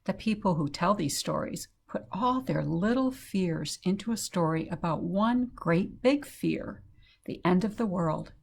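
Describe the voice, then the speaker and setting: Lower-voiced woman reading to children. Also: animated, vocal fry. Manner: simple, deliberate phrasing addressed to children. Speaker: children's story time host. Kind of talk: read-aloud storytelling for young children.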